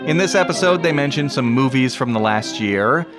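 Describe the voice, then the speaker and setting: A man's voice: medium pitch, expressive, normal speed, music in the background. A man hosting a podcast, reading a scripted introduction to vintage radio episodes. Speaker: podcast host.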